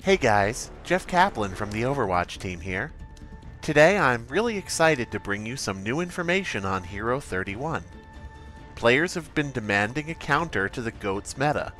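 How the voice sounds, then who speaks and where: A man's voice: mid-range voice, very animated, normal speed, fairly bright tone, faint music behind. A man playing an earnest, creepily awkward game developer who hesitates sheepishly through a parody update presentation.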